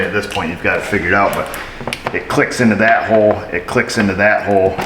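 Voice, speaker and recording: A middle-aged man, an auto mechanic, explaining a repair in a casual how-to way with wry, dry, self-mocking asides while he works. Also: lowish voice. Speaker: auto mechanic, shop owner. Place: indoor auto repair shop garage. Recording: echoey garage with shop noise.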